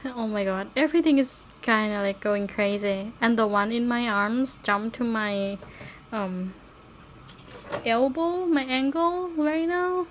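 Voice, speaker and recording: Woman w english, rambly podcast chat, high voice, takes her time, bit of noise behind. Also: takes it slowly. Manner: non-native English phrasing. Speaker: podcast host. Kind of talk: casual rambling chat, with baby talk to pets.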